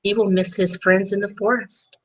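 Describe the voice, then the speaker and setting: A woman voicing a curious mother in a picture-book read-aloud, asking gentle questions. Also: mid-range voice, level tone, normal speed, lightly acted, rough recording. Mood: questioning.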